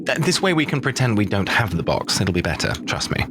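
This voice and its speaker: A man voicing a bossy, foul-mouthed schemer in a fantasy tabletop game, with a theatrical, excitable delivery. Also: lowish voice, fast, noisy background, pitched below the performer's own voice. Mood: smug, blunt.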